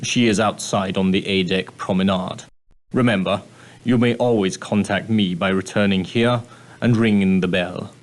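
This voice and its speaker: Man playing a straight-laced steward, male, somewhat deep voice, proper, courteous, measured wording, adventure game guide. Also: fairly even intonation, natural voice. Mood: courteous, instructive. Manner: formal, deferential, precise, politely dry. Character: prim, proper, straight-laced ship's steward on an ocean liner. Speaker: video game character. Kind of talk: scripted game dialogue, giving guidance.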